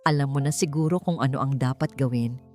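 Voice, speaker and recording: A woman voicing a cold, emotionless, threatening man in a story, with a flat delivery. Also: extremely low pitch, a little fast, soft background music. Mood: cold menace.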